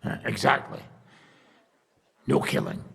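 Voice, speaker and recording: An actor playing a stern, curt medieval commander with a very rough, gravelly voice in a video game. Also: male voice, low-pitched, wildly swooping pitch, slow pace, fairly bright tone, in a large hall, exaggerated voice. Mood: curt, commanding. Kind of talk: video game cutscene acting.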